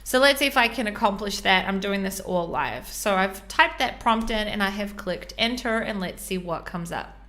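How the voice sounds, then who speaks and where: A woman's voice: medium pitch, normal speed, fairly bright tone. A woman with a New Zealand accent hosting a podcast and walking listeners step by step through a live screen-share tutorial, chatty and full of ums and uhs. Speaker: podcast host.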